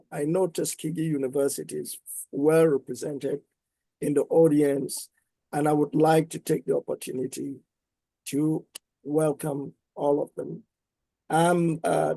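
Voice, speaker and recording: Slow, gravelly-voiced professor. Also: male voice, a little high, dark tone, no room sound, low-quality recording. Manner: slow, measured, with pauses. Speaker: professor and panelist. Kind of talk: formal self-introduction.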